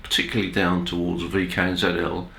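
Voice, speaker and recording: A man presenting an informal technical explainer as a video host, speaking in a measured, hesitant way with pauses. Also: low voice, monotone, creaky, over a noisy crowd. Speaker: amateur radio video host. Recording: roomy, echoey indoor sound.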